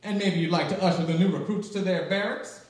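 An older man playing a gruff, sardonic, exasperated army drill sergeant in a live radio-style comedy skit. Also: high-pitched, fast talker, darkish tone, lightly acted. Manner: barking, sardonic. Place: library room, live performance, indoors. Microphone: stand microphone, distant camera. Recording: echoey room, far mic, audience noise.

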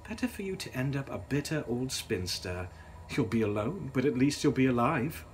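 A man's voice: mid-range voice, lively intonation, normal speed, slight background noise, pitched above the performer's own voice, natural voice, poor audio. A man voicing a mocking, spiteful eighteen-year-old Victorian sister in a gothic storybook reading. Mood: malicious. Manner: mocking, theatrical.

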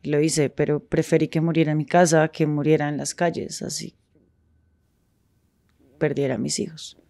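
A woman speaking frankly in a street interview, with halting pauses and restarts. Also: sits low, level tone, gravelly, warm tone, no echo.

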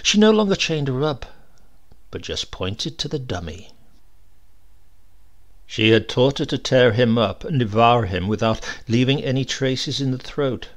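A man reading a classic short story aloud in a measured, dramatic storytelling manner. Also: mid-range voice, animated. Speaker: narrator.

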